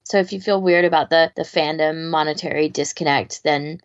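A woman's voice: sits low, steady tone, fairly quick, muffled recording. A woman podcast co-host chatting casually in a wry, offhand way. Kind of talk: casual chat.

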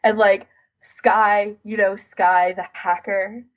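Husky-voiced woman chatting casually. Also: mid-range voice, little pitch movement, takes it slowly. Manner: informal, frequent "like" as filler. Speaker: podcast co-host. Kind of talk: casual podcast chat.

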